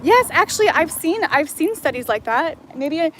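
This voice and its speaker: A woman's voice: high voice, big pitch swings, moderate pace, a bit bright, slight background noise. A woman from Hawaii on a casual livestream, reading her chat aloud and rambling with lots of fillers and restarts while out on a walk. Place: outdoors on a jungle walk.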